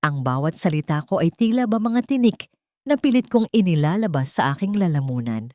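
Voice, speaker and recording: A woman reading a scripted first-person story in a solemn, melodramatic, deliberate manner. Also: lowish voice, big pitch swings, mellow, dry recording.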